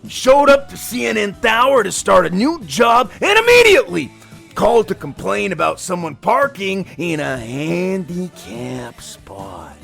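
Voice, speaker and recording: Narrator: boastful macho pro wrestler, high gravelly voice, slow dramatic promo delivery. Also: male voice, sing-song, a bit bright, soft background music, heavily acted. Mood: bombastic, gleeful. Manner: punctuates sentences with emphatic interjections. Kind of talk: exaggerated wrestling-promo storytelling.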